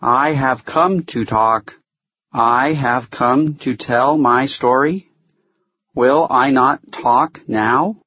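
A man voicing a small, solemn alien elder in an audiobook, slowly and simply, in a high, thin but distinct voice. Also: pushed and bright, breathy edge, dry recording, lo-fi sound, exaggerated voice. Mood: solemn, pleading. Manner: slow, simple careful speech.

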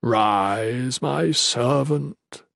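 A man voicing a majestic, benevolent god in an audiobook, speaking very slowly in a reassuring, solemn way. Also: a little high, expressive, gravelly, dry recording, clean studio sound, voice pushed up, heavily acted. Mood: solemn benevolence. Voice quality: kind, reassuring. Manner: very slow, solemn pronouncements.

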